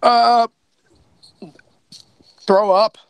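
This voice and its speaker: A man's voice: very high-pitched, level tone, extremely slow, clean tone, dry recording. A man talking casually and effusively in a rambling way as a podcast co-creator on a video call. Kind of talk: casual panel chat.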